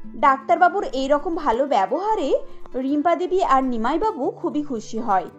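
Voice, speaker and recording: A woman's voice: sits high, expressive, normal speed, soft background music. A woman narrating a scripted cartoon story as its storyteller.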